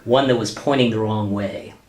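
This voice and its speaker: An actor playing a hesitant, exasperated government office worker and husband in a sitcom-style radio play, with a wry manner. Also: male voice, lowish voice, moderate pace, darkish tone, soft background music, close to an everyday voice. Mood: patient, weary. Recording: roomy, echoey sound.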